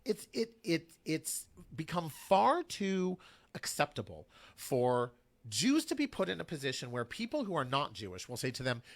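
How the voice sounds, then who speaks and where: A man's voice: fairly high pitch, wildly swooping pitch, moderate pace. A man speaking as a guest on a radio talk show, arguing passionately and repeating phrases for emphasis.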